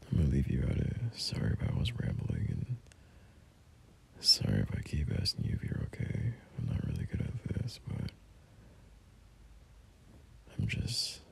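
A caring young boyfriend acted by a man murmurs soothingly in an intimate ASMR roleplay. His voice is extremely low and full of fry, slipping into breathy whispers. The pitch wanders widely as he gently, hesitantly reassures. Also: full character voice. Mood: tender, soothing.

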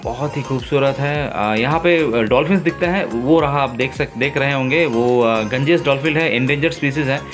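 A man narrating his own travel vlog in a relaxed, enthusiastic way, pausing often with fillers, filmed on a phone outdoors on a river boat. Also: medium pitch, over music. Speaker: travel vlogger.

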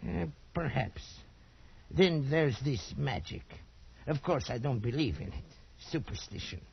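An actor playing a nervous, slyly dismissive Portuguese trader with a Portuguese accent, a husky gravelly voice and hesitant broken English. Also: male voice, mid-range voice, big pitch swings, unhurried, exaggerated voice. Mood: uneasy, insinuating. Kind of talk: 1940s radio acting. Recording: dull old radio recording.

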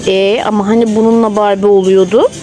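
A woman's voice: medium pitch, little pitch movement, fairly quick. A woman chatting casually as a mother on a shopping vlog, coaxing her child playfully with lots of questions. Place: inside a busy clothing shop. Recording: store music behind the voice. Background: loud store music.

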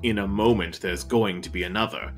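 A man's voice: fairly low pitch, fairly quick, faint music behind, close to an everyday voice. A man playing a muddled, boozy, melodramatically aggrieved sidekick in an old-time radio-style detective drama. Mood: indignant. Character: grumpy, rambling, boozy male sidekick, theatrically aggrieved.

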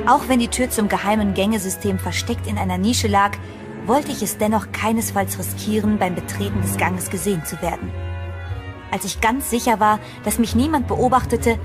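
A woman's voice: mid-range voice, lightly acted. An actress playing a determined, wily female sleuth in an audio horror drama. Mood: suspenseful narrating. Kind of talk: audio drama acting with first-person narration.

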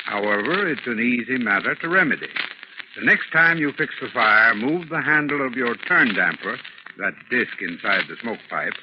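Instructional man on noisy old radio. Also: mid-range voice. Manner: measured, instructional. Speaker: heating expert in radio advertisement. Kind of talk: scripted 1930s radio advice. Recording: muffled, noisy, clicking 1930s radio recording.

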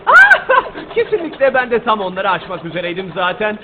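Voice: medium pitch, huge pitch swings, moderate pace, very noisy. An actor voicing a playful, boastful cat adventurer in a theatrical, exuberant children's cartoon dub. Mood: eager, bluffing. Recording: tinny narrow-band audio under loud music.

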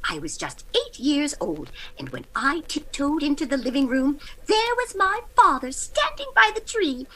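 A woman playing a kindly, forgetful elderly landlady who rambles absent-mindedly in an old-time radio comedy, with a breathy, fluttery voice. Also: high-pitched, sing-song, moderate pace, a bit bright, rough recording. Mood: fond reminiscing.

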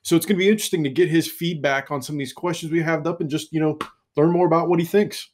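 A man in his thirties co-hosting a casual car show, talking in a relaxed, rambling way on a headset. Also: on the high side, fast talker. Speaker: co-host. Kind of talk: casual podcast chat.

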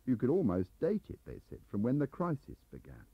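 A lonely middle-aged English clerk as a male reader plays him confides and complains in a rambling, defensive way. The voice is husky and animated, on a muffled audiobook cassette. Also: medium pitch, fairly quick, dry recording, pitched above the performer's own voice, heavily acted. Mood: confiding. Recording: dull lo-fi cassette transfer.

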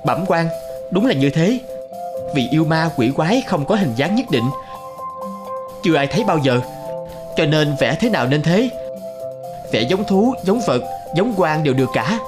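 A courteous, shrewd male villager from a cartoon folk tale. He speaks matter-of-factly and respectfully in a slightly high voice, with music behind. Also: very animated, moderate pace, pauses often. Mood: calmly sly. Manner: deferential, matter-of-fact.

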